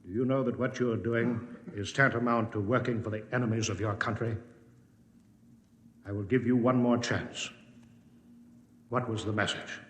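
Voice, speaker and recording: An actor playing a pompous, hectoring American senator, oratorical and insistent, in a 1950s radio drama. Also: male voice, on the low side, fairly even intonation, moderate pace, pauses often, close to an everyday voice. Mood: grandstanding. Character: pompous, hectoring male US senator leading an inquiry. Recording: echoing hall, old radio broadcast.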